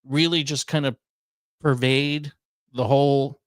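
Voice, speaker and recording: A man's voice: medium pitch, steady tone, unhurried, dry recording, studio quality. A man hosting a radio show, talking in casual conversation. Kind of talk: casual chat, airing workplace grievances.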